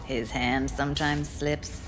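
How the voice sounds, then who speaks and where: A woman's voice: extremely low pitch, level tone, slow, music in the background. An actress voicing a worried, streetwise young woman in a video game, terse, colloquial and fretful. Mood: guarded. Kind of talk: video game character acting.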